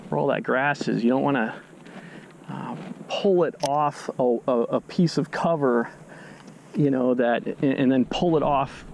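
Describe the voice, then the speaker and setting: A man's voice: medium pitch, creaky, darkish tone, lo-fi sound, slight background noise. A man on a fishing boat outdoors, casually explaining his technique step by step, often restarting his sentences. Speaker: fishing channel host. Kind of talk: instructional fishing commentary.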